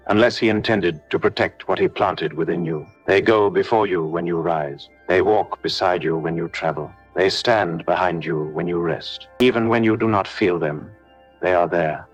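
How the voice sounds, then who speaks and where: A man narrating a scripted devotional prayer, speaking solemnly in measured declarations straight to the listener. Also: low voice, highs cut off. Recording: background music under the voice. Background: music.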